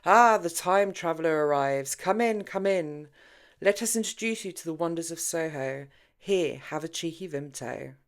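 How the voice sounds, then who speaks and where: A woman's voice: deep voice, animated, normal speed. Woman playing tipsy free spirit, mischievous, dramatic, insistent, audiobook character. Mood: exuberant.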